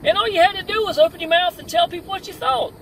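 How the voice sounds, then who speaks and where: A middle-aged man giving an energetic, preachy motivational talk to an online marketing audience while driving, repeating "y'all" often. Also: very high-pitched, fast talker. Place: open-top convertible car outdoors. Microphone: phone camera at arm's length. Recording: wind and road noise, mic at a distance.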